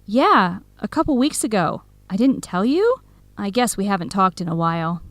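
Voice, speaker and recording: A woman's voice: mid-range voice, sing-song, normal speed, no echo, natural voice. A woman playing a supportive, frank young best friend in a radio drama, with a direct, encouraging, conversational manner. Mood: sympathetic. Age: young adult. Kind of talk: scripted radio drama dialogue.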